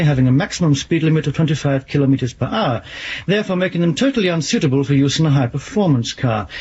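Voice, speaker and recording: A man's voice: a little high, a little mellow, husky, dull audio. An actor playing a deferential junior police detective, a man with a tentative, questioning manner in a radio drama.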